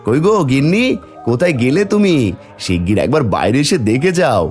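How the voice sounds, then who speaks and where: A man's voice: medium pitch, sing-song, brisk, a little mellow, faint music behind, lightly acted. A man playing a humble, hardworking poor village farmer and doting father in a cartoon folk tale, deferential and plaintive. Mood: eager, affectionate. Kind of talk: Bengali cartoon fairy tale dubbing.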